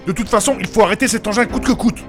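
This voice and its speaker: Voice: mid-range voice, fast, lightly acted. A performer playing a polite, noble-minded companion in a French fantasy audio drama, formal and earnest. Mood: alarmed, earnest. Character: proper, noble-minded, polite companion urging calm and action. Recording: music under the voice.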